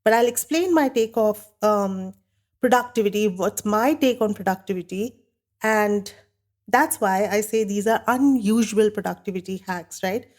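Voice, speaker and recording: A woman hosting a podcast while she has a bad cold, sounding nasal and croaky, talking earnestly and candidly on her own. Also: medium pitch, normal speed, darkish tone. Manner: earnest, candid, talks with a light laugh now and then. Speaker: podcast host. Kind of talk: solo podcast monologue.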